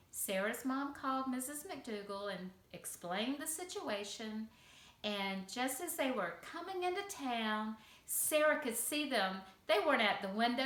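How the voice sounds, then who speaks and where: A woman with a fairly high voice tells a bedtime story to small children. Her phrasing is simple and expressive, and the room around her rings with clear echo. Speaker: preschool teacher telling a bedtime story. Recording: echoey room.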